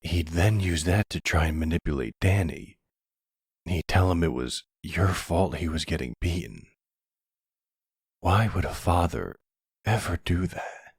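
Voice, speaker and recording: A man acts out a silver-fox mafia boss in an intimate ASMR roleplay. His voice is extremely low and husky, and he takes his time over each soothing phrase. He is tender and protective, heard close and dry. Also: no room sound, full character voice. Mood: sombre. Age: middle-aged. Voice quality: gravelly, husky, breathy. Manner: soothing, unhurried, full of pet names.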